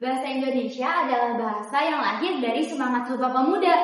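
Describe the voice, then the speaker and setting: A young woman playing an earnest female student who explains things to her classmates. Her voice is high and she talks fast. The sound is roomy, with music underneath. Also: a little hiss or hum, lightly acted.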